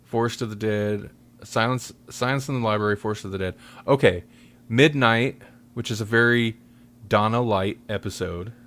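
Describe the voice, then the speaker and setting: A man hosting a casual podcast chat, often halting and thinking aloud as he talks. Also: fairly low pitch, a bit bright. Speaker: podcast host.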